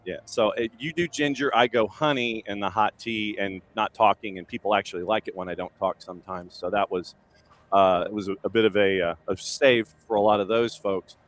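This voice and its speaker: A man's voice: lowish voice, steady tone, fairly quick. A man hosting a soccer morning show, chatting casually with a guest and joking at his own expense. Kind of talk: casual interview.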